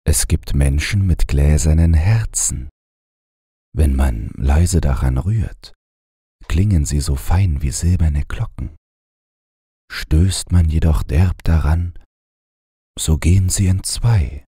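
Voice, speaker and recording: A man narrating a bedtime fairy tale as an audiobook reader, in a gentle, soothing, measured manner. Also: very deep voice, slightly rough, no room sound, studio quality.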